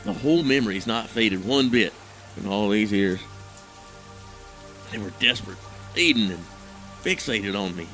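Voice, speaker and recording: An actor playing a troubled, guilt-ridden husband in an audio drama, recounting a memory in a halting, hesitant, brooding monologue. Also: male voice, fairly low pitch, a bit bright, soft background music, rough recording. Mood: haunted.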